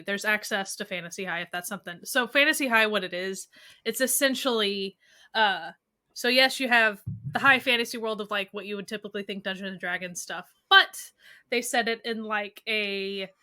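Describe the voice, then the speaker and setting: A woman's voice: medium pitch, moderate pace, sharp tone. A young woman co-hosting a podcast, casually explaining what she has been watching, with an easygoing manner and plenty of "um". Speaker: podcast co-host. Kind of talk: casual chat recommending shows.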